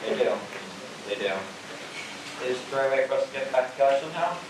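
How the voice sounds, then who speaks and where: A man's voice: mid-range voice, animated, quick pace, background noise, lightly acted. A man playing an astronaut crewmate in an amateur stage play, recorded at a distance in an echoey theater. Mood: bewildered, lost. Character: male astronaut crewmate, flippant then bewildered. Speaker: actor in a school theater production.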